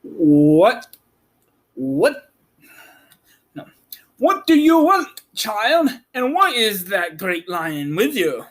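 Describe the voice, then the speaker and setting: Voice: mid-range voice, sing-song, very slow, long pauses, fairly bright tone, voice pushed up, full character voice. A man voicing a cautious but hospitable farmer's wife in a storybook reading. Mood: suspicious.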